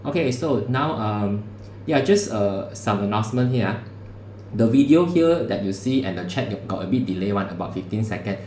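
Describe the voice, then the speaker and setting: A man's voice: fairly low pitch, animated, normal speed, a little mellow. A male lecturer, teaching a class over a livestream in a casual, chatty way. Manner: casual, conversational teaching with local particles. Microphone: streaming setup mic. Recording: echoey room, faint background hum.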